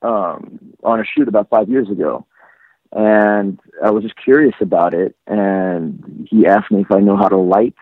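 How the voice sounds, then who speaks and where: A man from Iran in his thirties, a photographer, talking reflectively in a casual interview with many pauses and restarts. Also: on the low side, no room sound. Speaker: photographer guest. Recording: tinny phone-line sound.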